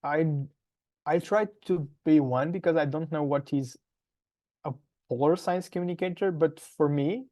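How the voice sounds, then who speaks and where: A young man from Portugal with a Portuguese accent, giving conversational interview answers in a halting way, searching for words and repeating phrases. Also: on the high side, mellow. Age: young adult. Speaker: podcast guest, polar science PhD student.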